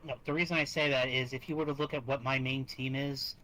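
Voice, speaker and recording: Bright sounding guy doing casual roleplay talk, faint mic noise. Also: medium pitch, steady tone, fast talker. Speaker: roleplay player. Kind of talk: casual in-character roleplay chat. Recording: noisy online voice chat.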